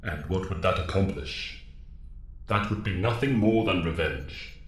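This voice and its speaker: Man playing a deep, echoing wise alien mentor. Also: expressive. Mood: grave, firm. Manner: solemn, formal, measured. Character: wise, grave alien mentor commanding young heroes. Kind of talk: audio drama acting. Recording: echoing, reverberant voice.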